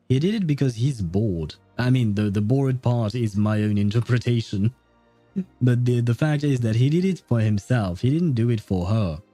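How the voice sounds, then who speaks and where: Mellow, very dark-voiced man streaming casually. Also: mid-range voice, no echo. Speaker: game livestreamer. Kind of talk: casual livestream commentary while playing a game.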